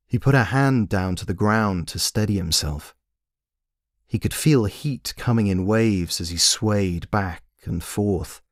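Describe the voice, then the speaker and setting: Fiction narrator: man with a fairly low voice, dry studio-like sound, reading scripted prose. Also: no echo. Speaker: author and narrator.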